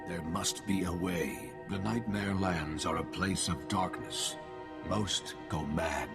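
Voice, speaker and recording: A man voicing a stern, terse alien leader in a video game, speaking formally in clipped, grave sentences with a gravelly voice. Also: low voice, takes it slowly, heavily acted. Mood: solemn, commanding. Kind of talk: video game cutscene acting. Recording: loud music under the voice.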